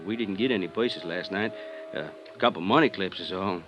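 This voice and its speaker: An actor playing a puzzled, deferential, folksy bartender who speaks hesitantly in a 1950s radio drama. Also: male voice, low-pitched, a little fast, noisy background, lightly acted. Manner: hesitant, plain-spoken, folksy.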